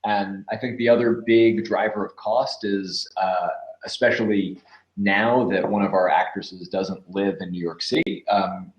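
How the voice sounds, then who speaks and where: A man's voice: deep voice, steady tone, a little slow, dull audio. A man in his twenties chatting casually and informally as an interview guest, rambling a little as he explains his work. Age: 20s. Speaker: voice actor and podcast producer, interviewed guest. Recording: roomy, echoing sound.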